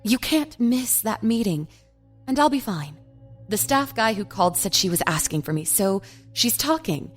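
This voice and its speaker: A woman playing a tense married woman feigning calm on the phone in an audio drama, guarded yet reassuring. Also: medium pitch, normal speed, faint music behind, lightly acted.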